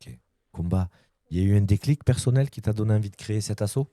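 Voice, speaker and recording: A man's voice: lowish voice, fast, warm tone, dry recording, studio quality. A man hosting an interview podcast, asking questions with short, friendly prompts. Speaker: podcast host. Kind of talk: casual interview.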